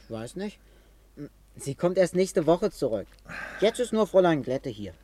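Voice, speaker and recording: A performer playing a hesitant, guileless village schoolboy in a 1950s radio play, giving simple, halting answers. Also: male voice, fairly high pitch, big pitch swings, warm tone. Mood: eager, naive.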